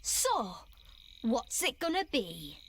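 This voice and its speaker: A woman's voice: fairly high pitch, huge pitch swings, slow pace, a bit bright, husky, exaggerated voice. A woman voicing a brash, sarcastic, playful young female mercenary in a video game cutscene. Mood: cocky, taunting.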